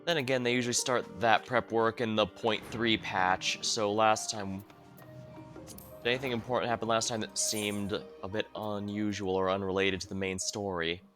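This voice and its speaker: A man's voice: lowish voice, fairly even intonation, moderate pace, a bit bright. A man giving casual livestream commentary as he plays through a story game. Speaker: game streamer. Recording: game music behind the voice. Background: game music.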